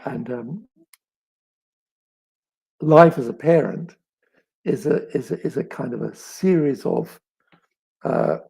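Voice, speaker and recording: A middle-aged man with a crackly, raspy voice, as if with a frog in his throat, chatting reflectively as an author and telling stories with thoughtful pauses and emphasis. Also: medium pitch, expressive, dark tone. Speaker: author answering audience questions. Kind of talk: reflective live chat, storytelling.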